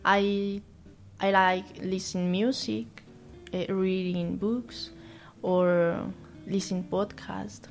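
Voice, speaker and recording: Slow, halting young woman with a Colombian accent. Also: medium pitch, flat delivery, darkish tone, soft background music. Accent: Colombian Spanish. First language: Spanish. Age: young adult. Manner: halting, searching for words, many restarts. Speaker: university student guest. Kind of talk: interview answers in a second language.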